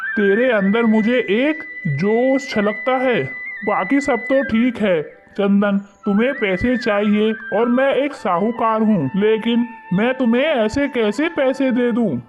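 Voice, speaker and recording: A man playing a shrewd, suspicious village moneylender in an animated moral story, speaking with condescension. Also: sits high. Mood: condescending, suspicious. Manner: condescending, wary. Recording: muffled lo-fi audio under loud music.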